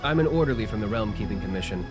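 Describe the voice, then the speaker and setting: Man as obliging game bureaucrat, sly, animated intonation, chatty, ingratiating, music behind. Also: fairly low pitch, very fast, natural voice. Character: smooth-talking male civil servant, sly and obliging. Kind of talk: English game voice acting.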